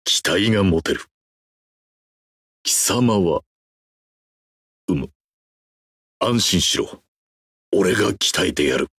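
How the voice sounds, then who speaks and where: A man's voice: deep voice, lively intonation, slow pace, pauses often, fairly bright tone, slightly rough, dry recording, full character voice. A man voicing a stern, proud horned demon trainer in a mobile game, giving clipped, imperious, grandiose commands. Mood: stern approval. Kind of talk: anime game character voice acting.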